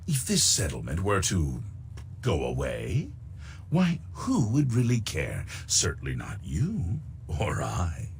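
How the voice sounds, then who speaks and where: An actor playing a suave, sinister middle-aged recruiter in a video game, speaking in a pompous, unctuous and deliberate way. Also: male voice, deep voice, wildly swooping pitch, creaky, slight background noise, full character voice. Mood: conspiratorial, persuasive. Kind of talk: theatrical video game dialogue.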